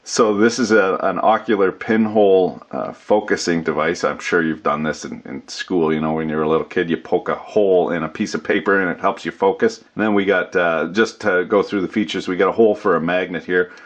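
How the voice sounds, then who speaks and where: Deep-voiced man with salty, folksy talk. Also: highs cut off. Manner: salty, folksy swearing and joking slang. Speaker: host of a workshop channel. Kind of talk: casual, unscripted channel update.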